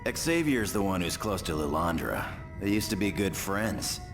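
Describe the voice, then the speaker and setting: A gruff, cocky video game superhero with an extremely deep, gravelly voice. He is blunt and slangy, swinging widely in pitch over clear background music. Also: expressive, moderate pace. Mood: sly, wry. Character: gruff, cocky tough-guy male superhero. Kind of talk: video game cutscene acting.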